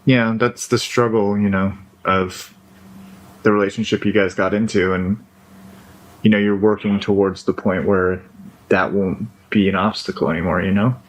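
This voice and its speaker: A man with a fairly low voice chats casually on a call. He stays calm and keeps gently questioning the other person. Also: moderate pace, rough recording. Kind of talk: casual phone conversation.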